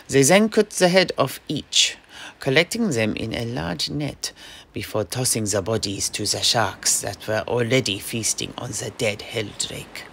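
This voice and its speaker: A man telling a tale in character for a fantasy audio play, in dramatic, measured phrases. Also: mid-range voice, gravelly, fairly bright tone, a little hiss or hum. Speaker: host, storyteller.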